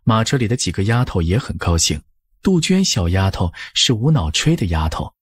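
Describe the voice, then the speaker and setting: A man reads scripted audiobook narration in a husky voice of medium pitch. His intonation moves widely, and the sound is dry and close. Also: very animated, normal speed, dry recording.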